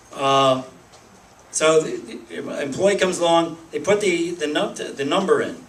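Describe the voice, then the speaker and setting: A man's voice: fairly high pitch, slow pace, a little hiss or hum. A man giving an informal talk to a meeting, with many restarts and emphatic lists. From Pennsylvania, United States. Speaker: speaker at a group meeting. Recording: echoey room, voice from a distance.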